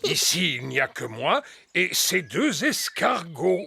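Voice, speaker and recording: A man's voice: a little high, big pitch swings, slow pace, creaky, sharp tone, faint music behind, exaggerated voice. An older man voicing a jolly, playfully grumbly grandfather pig in a French cartoon dub. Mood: friendly.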